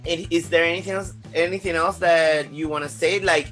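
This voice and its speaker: A man's voice: on the high side, steady tone, a little fast, soft background music. A young adult man hosting a podcast interview, talking loosely with lots of "like" and fillers. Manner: loose, filler-heavy, warm-hearted chatter. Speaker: podcast host. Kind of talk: casual interview chat.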